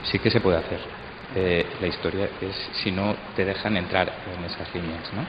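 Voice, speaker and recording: A man's voice: sits low, fairly even intonation, moderate pace. A man with an accent from Spain making hesitant, unscripted remarks in a panel discussion, often restarting his phrases. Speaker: radio contributor speaking in a congress debate. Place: large hall at a radio drama congress. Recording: echoey hall, dull sound, clear background noise.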